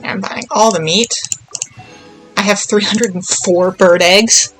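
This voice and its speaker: A woman's voice: mid-range voice, fairly even intonation, slow, soft background music, poor audio. A woman giving casual, playful video game commentary, thinking aloud and murmuring little nonsense words to herself as she plays.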